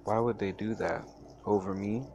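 A man's voice: lowish voice, moderate pace, warm tone, muffled recording, slight background noise, close to an everyday voice. A man voicing an anguished, bewildered character in a story, questioning and pleading. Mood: troubled questioning. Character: anguished, bewildered man lost between life and death.

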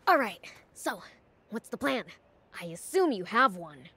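High-voiced, cocky demon boy. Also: sing-song, measured pace, bright, forceful tone, husky, exaggerated voice. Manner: brash, punchy, boyish. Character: cocky, eager young male demon prince. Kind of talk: video game cutscene acting.

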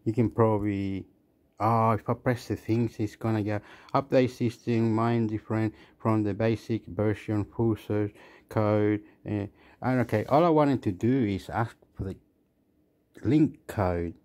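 A man's voice: fairly low pitch, fairly even intonation, a little slow, darkish tone. A man with an Australian accent gives a rambling commentary over a phone screen recording, repeating himself and restarting his words. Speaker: vlogger.

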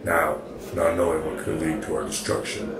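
A man voices a robot golem preacher in an audio drama, extremely deep and gravelly. It speaks in solemn, pompous, formal sentences, with echo around it. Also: animated, normal speed, a crowd in the background, heavily acted. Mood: preachy. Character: preachy, literal-minded golem robot shaped like an Asura. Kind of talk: fan audio drama acting. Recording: echoey, with loud music.

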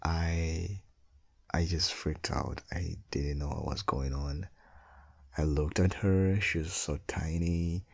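A man playing an affectionate, playful husband and doting father in an intimate ASMR audio roleplay, with a husky, soothing delivery. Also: fairly even intonation, slow, muffled recording, heavily acted. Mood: soothing, amused. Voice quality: low, gravelly, husky.

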